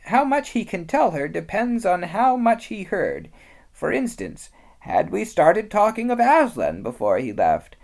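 A reader voicing a practical, motherly female beaver, speaking in a high, bustling, brisk way. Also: sing-song, creaky, darkish tone.

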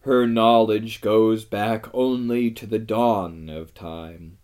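A storybook narrator voicing a great male lion, wise, solemn and gentle. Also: mid-range voice, steady tone, takes it slowly, smooth, natural voice. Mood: solemn, majestic.

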